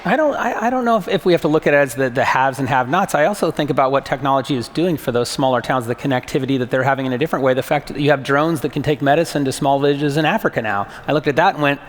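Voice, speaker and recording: A man's voice: mid-range voice, racing, few pauses. A man speaking conversationally as a panelist, with an emphatic, anecdotal way of talking, in an echoey hall. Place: large hall, panel stage. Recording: echoey hall with long reverb.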